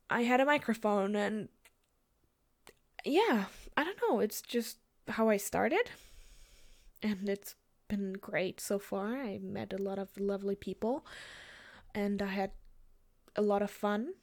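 Casual chat from a young German woman with a lisp and a German accent, speaking in a fairly high voice. she hesitates a lot and laughs often while answering questions from her listeners. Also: darkish tone. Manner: hesitant, giggly, lots of fillers.

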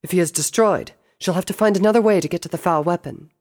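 A man's voice: high-pitched, quick pace, a little mellow, voice pushed up. A man voicing a regal, earnest pony princess in a storybook, formal and intense in manner. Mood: questioning, earnest.

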